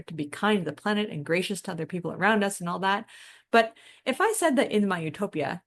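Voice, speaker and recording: Female podcast host, slightly low register, noticeable vocal fry, thoughtful and wordy discussion delivery with self-deprecating touches. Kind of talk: conversational podcast discussion of ideas.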